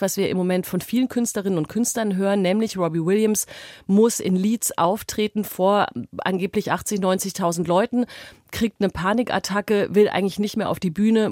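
A woman's voice: mid-range voice, a little mellow, no echo, clean studio sound. A woman co-hosting a podcast in casual chat, talking while she has a cold. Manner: chatty, laughs often, self-deprecating. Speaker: podcast co-host. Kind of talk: casual conversational podcast talk.

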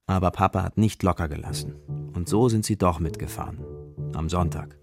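A man narrating a children's radio play as a scripted story, with a gravelly voice. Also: sits low, a little fast. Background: music, plucked guitar.

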